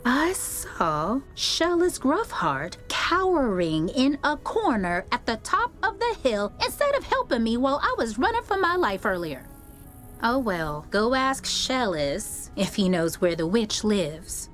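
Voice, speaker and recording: An actress voicing a friendly, earnest young female wizard in a video game, expressive and chatty. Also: on the high side, a little slow. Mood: relieved, chatty. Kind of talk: video game character acting. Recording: game music behind the voice.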